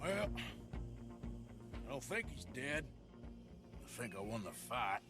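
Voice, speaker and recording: An actor playing a gruff, sardonic and reluctant cowboy outlaw in a video game, with loud music over his dry delivery. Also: mid-range voice, big pitch swings, slow pace. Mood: subdued, wry. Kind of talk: video game cutscene acting.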